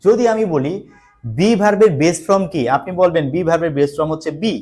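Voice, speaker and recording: A man's voice: a little high, quick pace, a little mellow. A man teaching an online English grammar class for exam preparation. He explains patiently, repeats key points and puts questions to his students. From Bangladesh.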